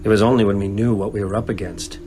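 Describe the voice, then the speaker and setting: A haunted man played by a male actor looks back on a horror in a radio play. His voice is low and solemn, measured and reflective, with faint music underneath. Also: fairly even intonation, mellow, lightly acted. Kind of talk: radio drama acting, framing narration.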